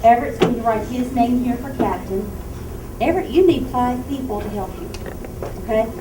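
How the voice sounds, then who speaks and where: A woman with a high voice addresses a class of young children as their teacher. She projects loudly and keeps asking them questions. The room is echoey, and clear noise surrounds her. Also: moderate pace. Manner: asks children frequent check-in questions. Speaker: school librarian or reading teacher. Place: elementary school library. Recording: echoey, noisy room, distant microphone.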